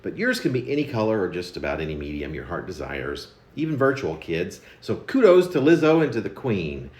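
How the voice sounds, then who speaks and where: A man with a low voice reads his own poem in a roomy, echoing space. His delivery is conversational yet theatrical, with a wry edge and pitch that rises and dips freely through long, wordy phrases. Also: sing-song, brisk, darkish tone. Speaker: poet reading own poem. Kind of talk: spoken-word poetry reading with informal introduction.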